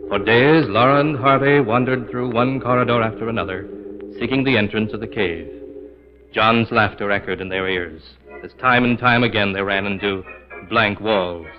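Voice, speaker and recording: An actor playing an ominous storyteller, narrating a 1940s radio terror tale solemnly and dramatically. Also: male voice, lowish voice, natural voice. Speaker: radio horror series narrator. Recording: muffled 1940s radio recording with music.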